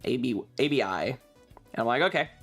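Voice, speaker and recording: Halting man rambling casually over music. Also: mid-range voice, animated, fairly bright tone. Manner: halting, wry, sprinkled with swearing; frequent throat clearing. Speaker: streamer. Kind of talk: casual rambling storytelling on a stream.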